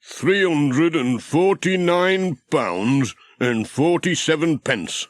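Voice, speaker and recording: A man's voice: on the high side, expressive, slow pace, dry recording, clean studio sound, voice pushed up. A man voicing a cheery, practical village handyman in a children's storybook. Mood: eager.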